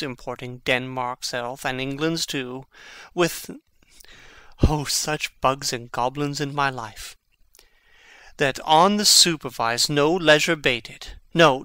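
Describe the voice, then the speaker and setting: An actor playing an intense, brooding young prince in a classical Shakespearean dramatic reading, with theatrical, emphatic phrasing. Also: male voice, medium pitch, pushed and bright, clean tone, dry recording.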